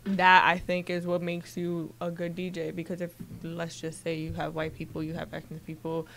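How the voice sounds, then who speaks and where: A woman on a radio panel, speaking in an animated way and drawing out her phrases. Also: on the low side, normal speed, a little hiss or hum. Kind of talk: casual interview chat.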